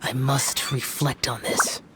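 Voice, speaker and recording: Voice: mid-range voice, level tone, slow pace, heavy background noise, natural voice. An actor playing a stern, brooding young immortal warrior in a video game, speaking solemnly and formally with an aloof manner.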